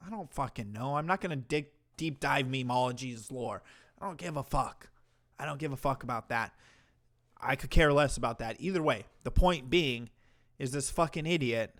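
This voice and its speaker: Guy streamer trashing a clip live, crude and sarcastic, normal pitch. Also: bright, forceful tone. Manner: mocking, profane, talks back at a clip. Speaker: commentary streamer host. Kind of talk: livestream reaction commentary.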